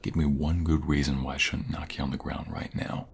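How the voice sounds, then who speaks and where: A man playing a young, protective, hot-tempered mobster in an audio roleplay, gravelly and menacing, with a soft side for his sweetheart. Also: a bass voice, flat delivery, fairly quick, heavily acted. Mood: threatening anger.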